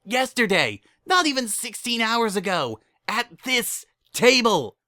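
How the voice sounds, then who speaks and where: A man voicing a defiant young noble female unicorn, sharp, outspoken and exasperated, in a storybook reading. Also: extremely high pitch, big pitch swings, takes it slowly, no echo, studio quality, pitched above the performer's own voice, exaggerated voice. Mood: outraged.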